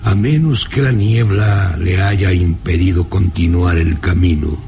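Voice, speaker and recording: An actor playing a domineering, menacing baron with a mocking, commanding manner in a radio drama. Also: male voice, deep voice, vocal fry, mellow, a little hiss or hum. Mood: sinister taunting. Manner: slow taunting menace, commanding. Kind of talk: vintage radio drama acting.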